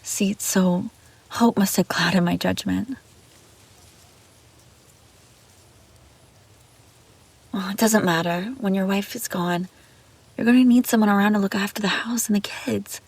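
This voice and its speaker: Breathy, obsessive babysitter played by a woman. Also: medium pitch, fairly even intonation, normal speed, lightly acted. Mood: hurt, hushed. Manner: pleading, defensive, hesitant then insistent. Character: obsessive, possessive young female babysitter, lovestruck and scheming. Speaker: audio roleplay voice actor. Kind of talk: intimate dramatic audio roleplay monologue. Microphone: close, dry microphone.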